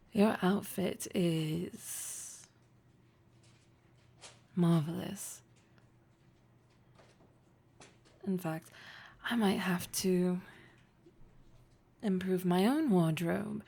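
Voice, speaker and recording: A woman performs a dominant, wealthy lady boss in an intimate audio roleplay. Her voice sits low and mellow with a gravelly fry at the edges. She is poised and flirtatious, teasing with breathy, deliberate formality. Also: exaggerated voice. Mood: playful, admonishing. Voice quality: breathy, husky.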